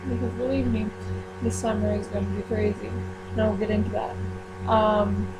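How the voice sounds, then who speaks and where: A woman chatting casually to her viewers as she runs a book club, rambling with frequent ums and quick self-corrections. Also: mid-range voice, level tone, very noisy. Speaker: YouTuber running a book club. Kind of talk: casual chat to camera.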